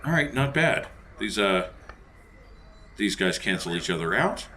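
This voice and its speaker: A man playing a tabletop game, chatting casually in a playful, animated way. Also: lowish voice, a little slow, a little hiss or hum.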